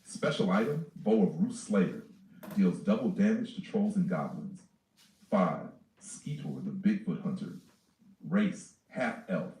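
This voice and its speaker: A man reading a character and campaign sheet aloud in a flat, list-like way. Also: deep voice, a little slow. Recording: distant, echoey, faint noise.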